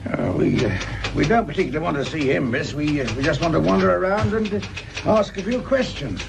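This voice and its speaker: An actor playing a polite, dryly joking police sergeant in a British radio crime drama, with an English accent and a hesitant, deferential way of talking. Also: male voice, fairly high pitch, animated, fairly quick, gravelly, crowd noise. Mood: wry, casual. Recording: noisy radio drama recording.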